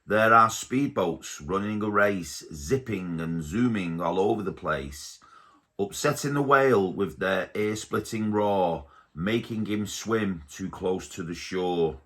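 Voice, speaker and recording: A man reading a rhyming picture book aloud to his baby at home, slowly and gently. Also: low voice, animated. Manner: slow, gentle storybook reading.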